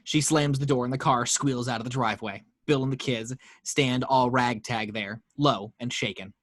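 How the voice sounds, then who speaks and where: A man's voice: medium pitch, fairly even intonation, normal speed, no echo. Dry-voiced man reading stage directions. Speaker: narrator reading action lines. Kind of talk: table read narration. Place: home.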